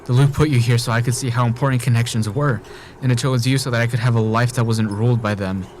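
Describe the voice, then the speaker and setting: A man's voice: mid-range voice, steady tone, fast talker, darkish tone, natural voice. A man acting in an audio drama as an obsessive, murderous man trapped in a time loop, speaking with pleading intensity. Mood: wistful, persuasive. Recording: noisy background ambience.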